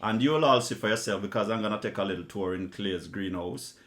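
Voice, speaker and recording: A man's voice: lowish voice, a little fast, fairly bright tone. A middle-aged man with a Jamaican accent, hosting a casual chat and telling stories. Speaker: host.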